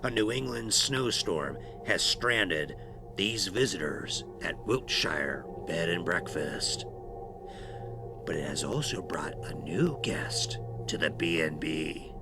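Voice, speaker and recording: Sinister male horror host acted by a man: very low, slow, theatrical menace over music. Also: gravelly, exaggerated voice. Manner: slow, theatrical, ominous, with whispered phrases. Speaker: horror anthology host and narrator. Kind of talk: theatrical scripted horror narration.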